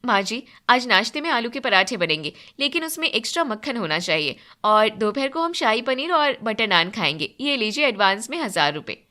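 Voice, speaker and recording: An indignant young daughter-in-law from a storybook family drama, acted by a woman narrator. Her voice is high and sharply bright. She complains and demands as someone sure of what she is owed. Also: very animated, fairly quick, hardly any pauses. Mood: defiant.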